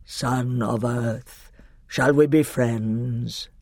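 Majestic lion for a children's storybook, played by a male narrator: deep, measured, fatherly authority, unhurried pacing. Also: steady tone, a little mellow, dry recording, clean studio sound, heavily acted. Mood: gentle, solemn. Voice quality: deep, breathy-slow delivery. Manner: slow, deliberate.

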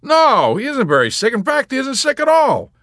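A man voicing a brash, excitable wartime airman in an audiobook, exclamatory and emphatic. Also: mid-range voice, unhurried, dry recording, studio quality, voice pushed up. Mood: breezy, insistent.

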